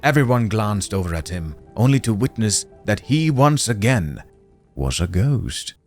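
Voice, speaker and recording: A man's voice: fairly low pitch, very animated, a little slow, a little mellow, soft background music. A man reading scripted dramatic narration as the storyteller of a fantasy tale.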